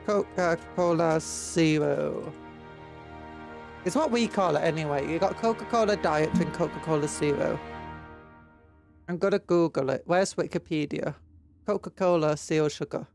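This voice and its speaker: A woman's voice: sits low, gravelly, darkish tone. Stuffy-nosed British woman chatting on stream. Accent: British English. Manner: rambling, chatty, reads and answers chat. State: has a cold, blocked nose and sore throat. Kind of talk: casual live-stream chat with viewers.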